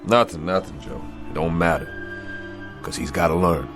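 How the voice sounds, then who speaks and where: Deep-voiced, bitter aging boxer. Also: male voice, measured pace. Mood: bitter, resigned. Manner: gruff, slangy, confrontational talk. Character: aging has-been boxer, proud, bitter, hot-tempered. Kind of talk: radio drama acting. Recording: dramatic music behind.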